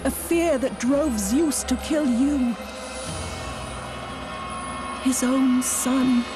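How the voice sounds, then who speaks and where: A woman playing a noble, solemn goddess in a video game, speaking gravely and earnestly in deliberate phrases. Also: high-pitched. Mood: solemn warning. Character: noble, solemn goddess pleading to defend Olympus. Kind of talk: dramatic video game acting. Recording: orchestral music behind voice.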